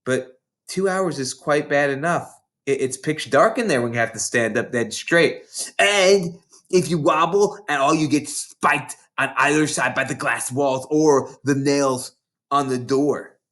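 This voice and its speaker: A man's voice: medium pitch, measured pace, fairly bright tone, pitched above the performer's own voice, lightly acted. A man acts out a big, rugged ten-year-old schoolgirl in a storybook. She is brash and boastful, pushing her words out with emphasis and savouring every grim detail.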